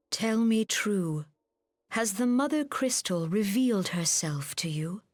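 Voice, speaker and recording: Slow, solemn woman mystic leader. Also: medium pitch, husky, dry recording, studio quality. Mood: hushed wonder. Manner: measured, formal, archaic diction. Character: serene, solemn female mystic leader, wise and grave. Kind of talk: scripted fantasy game cutscene acting.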